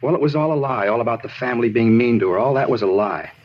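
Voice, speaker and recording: A man acts out an aggrieved, disturbed American suspect in an old radio crime play. He rambles insistently and repeats himself in a husky, hurried voice, on a dull vintage recording. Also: medium pitch, lively intonation, lightly acted. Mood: intense, self-justifying. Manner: rambling, repetitive, insistent, plain-spoken. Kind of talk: 1950s radio drama acting.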